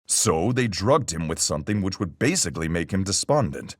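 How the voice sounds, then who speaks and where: Deep, husky seafaring sleuth. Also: male voice, very animated, no room sound, studio quality. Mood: thoughtful, explanatory. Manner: folksy nautical speech, measured and reasoning. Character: calm seafaring man, salty sailor-sleuth reasoning through a murder. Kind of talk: video game voice acting, deliberate argument.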